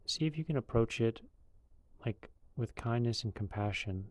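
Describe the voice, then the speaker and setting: A man leading a guided meditation in a calm, unhurried, loosely conversational way. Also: lowish voice, no room sound.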